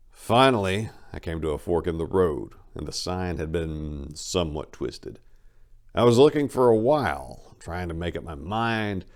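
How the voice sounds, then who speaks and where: A man's voice: sits low, a little mellow. A man speaking as host and dramatic reader, with theatrical, emphatic phrasing. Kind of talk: dramatic audiobook reading.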